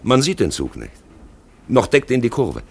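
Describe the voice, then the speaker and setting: A man's voice: medium pitch, huge pitch swings, normal speed, gravelly, slightly rough, exaggerated voice. A man playing an eloquent narrator in a 1950s German radio play, addressing the listener directly in a dramatic, rhetorical way. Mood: urgent, pointing out. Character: eloquent male narrator guiding the listener through a drab town.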